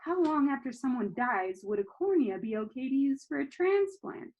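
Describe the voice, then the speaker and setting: A woman reading a children's novel aloud in an animated, expressive storytelling manner. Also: high-pitched, moderate pace, rough recording. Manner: animated, acts out dialogue.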